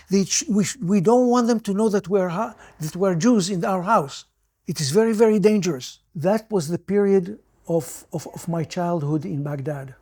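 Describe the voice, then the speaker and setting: Older Iraqi-born man, halting recollection. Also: sits high, a little mellow, husky. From Iraq. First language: Judeo-Arabic. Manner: halting, many restarts and repeated words. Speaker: interview guest. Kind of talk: personal recollection.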